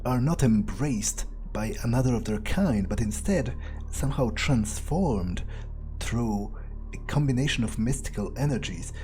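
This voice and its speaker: A man's voice: medium pitch, mellow, lightly acted. A man roleplaying a guarded, blunt, sardonic vampire in a video game, with a wry, hesitant, thinking-aloud delivery. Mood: musing. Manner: wry, unhurried, hesitant musing, casual swearing. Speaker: let's player. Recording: faint music behind the voice. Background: faint game music.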